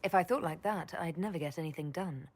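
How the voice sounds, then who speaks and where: A woman's voice: lowish voice, fast, a little mellow, lightly acted. An actress playing a composed, resolute woman leader with dry wit in a video game, speaking directly. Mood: dry, frank. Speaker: leader, inquisitor. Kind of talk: video game cutscene acting.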